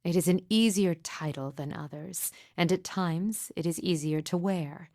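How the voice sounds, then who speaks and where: A woman playing a grieving, mystical midwife in a fantasy game, speaking in a solemn, formal, measured way with deliberate pauses. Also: lowish voice, a little mellow, no echo, clean studio sound. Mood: solemn, reflective. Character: grieving mother, solemn sorrowful mystic midwife with haunted calm. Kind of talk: scripted fantasy game dialogue.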